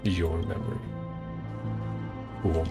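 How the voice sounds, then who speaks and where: A man gives casual gameplay commentary in a low, creaky voice. He muses and reads on-screen text in loose fragments. Game music plays clearly behind him. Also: fairly even intonation, takes it slowly. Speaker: streamer playing a video game. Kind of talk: casual gameplay commentary, reading subtitles aloud.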